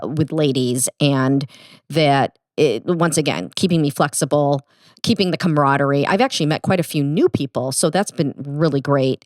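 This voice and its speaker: This is a middle-aged woman with a Midwestern accent, co-hosting a relaxed podcast. Her voice sits low for a woman and sounds close and dry on the mic. She rambles easily, backing up and restarting her sentences. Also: dry recording. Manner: rambling, many restarts and fillers. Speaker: podcast co-host. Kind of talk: casual chat.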